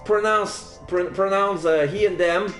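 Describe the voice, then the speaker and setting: A man's voice: sits high, fairly even intonation, measured pace, fairly bright tone, echoey room, soft background music. A man in his forties from French Canada with a French Canadian accent, chatting casually on a live stream, rambling with lots of fillers and restarts. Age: 40s.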